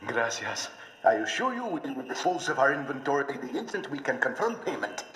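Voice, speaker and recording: Oily, animated villain doctor. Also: male voice, mid-range voice, fairly quick. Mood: fawning. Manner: oily, theatrical, deferential. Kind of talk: video game cutscene acting. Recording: echoey hall reverb.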